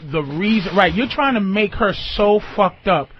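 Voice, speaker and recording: A man's voice: high-pitched, expressive, moderate pace. A man hosting unscripted comic radio talk, blunt and profane, often repeating himself for emphasis. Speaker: radio talk show host giving relationship advice. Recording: muffled lo-fi radio recording.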